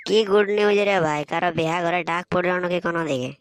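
A performer voicing an excitable, grumbling village student lad in a comedy cartoon, exclaiming in a rural Purulia Bengali accent. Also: mid-range voice, quick pace, smooth, no echo, lightly acted. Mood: excited.

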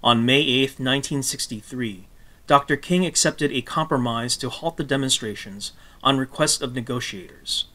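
A man reading scripted documentary narration in a measured, phrase-by-phrase manner. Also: medium pitch, sharp tone. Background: guitar music.

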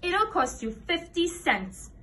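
A woman's voice: very animated, takes it slowly, fairly bright tone, distant mic, slight background noise, exaggerated voice. A woman voicing a smug, scheming know-it-all schoolgirl in a storybook, with a teasing, confiding manner. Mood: smug, coaxing. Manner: teasing, confiding sales pitch.

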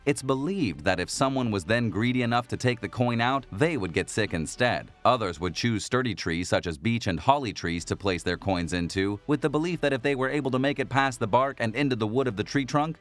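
A man reading a scripted countdown narration in an even, explanatory manner, asking rhetorical questions along the way. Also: mid-range voice.

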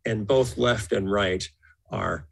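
Male panelist, low and creaky, husky, explanatory podcast chat. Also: slow pace. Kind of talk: conversational podcast discussion. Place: indoor room, remote podcast call.

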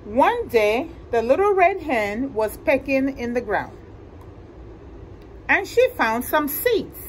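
A middle-aged woman hosting library storytime, reading a picture book to children in a deliberate, expressive way and asking them questions. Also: high-pitched, a little hiss or hum, low-quality recording. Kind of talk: animated picture-book read-aloud to children. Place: indoor library room with bookshelves.

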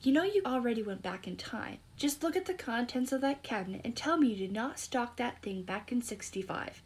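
A woman's voice: fairly high pitch, lively intonation, fairly quick, lightly acted. A female performer voicing an eager, bossy, clever young girl who confidently explains things in a scripted audio drama.

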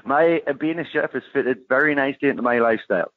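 A man's voice: medium pitch, fast talker. A man from northeast England with a Geordie accent, a chef guest chatting casually in an interview, laughing easily with plenty of "uh" fillers. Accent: Geordie English. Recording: tinny phone-line sound.

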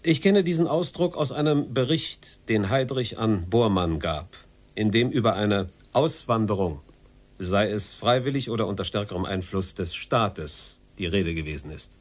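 An actor playing a formal, evasive former Nazi state secretary under interrogation in a radio play. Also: male voice, lowish voice, expressive, normal speed.

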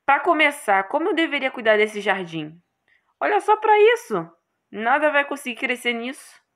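A woman's voice: sits high, big pitch swings, moderate pace, fairly bright tone, pitched above the performer's own voice. Girl doing a cranky young hero guy from a game, sarcastic, always exasperated. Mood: annoyed. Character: brash, irritable young male hero stuck gardening.

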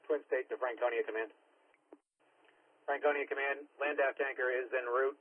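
Guy dispatching fire crews on a radio, clipped and procedural, kinda raspy, tinny narrow sound. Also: medium pitch, steady tone, moderate pace. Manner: clipped, repeats units and times. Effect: two-way radio. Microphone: dispatch radio microphone.

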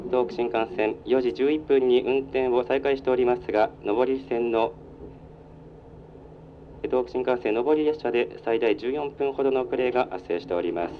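Muffled male train conductor over the PA. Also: moderate pace. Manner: formal, polite, procedural. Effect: public address speaker. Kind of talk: onboard train announcement. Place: inside a moving train. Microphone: recorded from the carriage speaker. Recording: muffled train PA, rumbling vehicle noise. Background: train running noise.

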